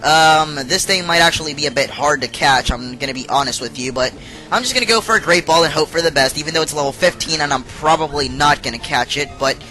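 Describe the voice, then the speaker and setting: A man's voice: on the high side, fast, bright, forceful tone, faint music behind, poor audio. A young man who is sick and has partly lost his voice, chatting casually with many fillers as he plays through a game. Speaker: let's play host.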